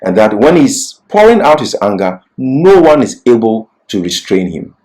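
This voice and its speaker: Low-voiced man teaching, very animated pitch. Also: normal speed, low-quality recording. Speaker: Bible teacher. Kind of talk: expository Bible lecture.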